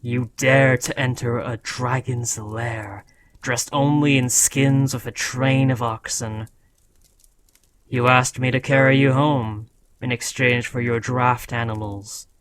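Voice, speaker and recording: Man acting solemn ancient dragon, slow and proud. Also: mid-range voice, steady tone, a bit bright. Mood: solemn, tender. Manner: solemn, formal, measured. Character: ancient proud male dragon, scolding yet devoted to rider. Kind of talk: dramatic fantasy roleplay monologue.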